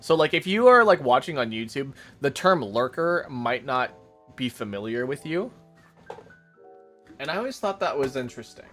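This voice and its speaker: A man's voice: medium pitch, big pitch swings, normal speed, a bit bright, soft background music. A man streaming a casual chat, rambling to his viewers while making coffee. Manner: chatty, rambling, talks to chat.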